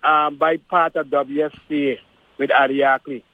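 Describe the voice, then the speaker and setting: Guy calling in, pretty high voice, thin phone sound, chatty. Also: flat delivery, moderate pace, no echo, narrow, tinny audio. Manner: chatty, piles up yeahs, drifts into stories. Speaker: guest, former radio broadcaster. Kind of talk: casual reminiscing chat.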